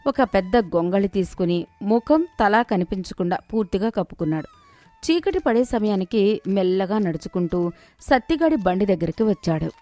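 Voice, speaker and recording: A woman's voice: medium pitch, a little mellow, no room sound. A woman narrating a scripted moral story. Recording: dry studio, music underneath. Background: background music.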